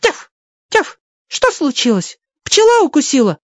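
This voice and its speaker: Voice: high voice, huge pitch swings, unhurried, no room sound, studio quality, pitched above the performer's own voice, full character voice. A storybook narrator voicing a stern mother fox who yaps and admonishes. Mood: alarmed, scolding. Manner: yapping, admonishing.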